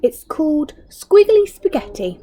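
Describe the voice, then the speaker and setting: A woman's voice: sits high, big pitch swings, unhurried, dark tone, slight background noise, voice pushed up. A woman voicing a spiteful, dramatic old wife in a storybook, exclaiming and gloating. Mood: smug. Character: spiteful, dramatic old wife who plays nasty tricks.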